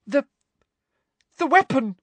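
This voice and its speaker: Woman doing a crying teenage girl, stammering, very high and shrill, dry close sound. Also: very animated, takes it slowly, no room sound, heavily acted. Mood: tearful stammer. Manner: stammering, tearful. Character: sobbing teenage schoolgirl, frightened and stammering.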